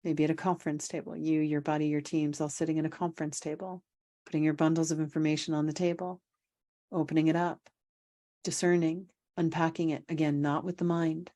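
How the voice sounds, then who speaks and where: A woman leading a guided meditation as a coach, speaking calmly and without hurry, with pauses and gently repeated phrases. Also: low-pitched. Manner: calm, unhurried, repetitive phrasing with pauses. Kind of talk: guided meditation, spoken instruction.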